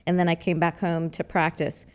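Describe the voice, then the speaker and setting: A woman's voice: fairly low pitch, level tone, normal speed. A middle-aged woman with a slight Texas accent, a political candidate talking earnestly in a casual podcast conversation, with many fillers and restarts. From New Mexico, raised in Arizona.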